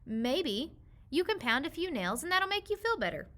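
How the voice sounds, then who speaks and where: An easygoing grown-up man offers help in a storybook in a woman reader's voice. His friendly manner sounds bright and light. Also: high voice, very animated, normal speed, pitched above the performer's own voice.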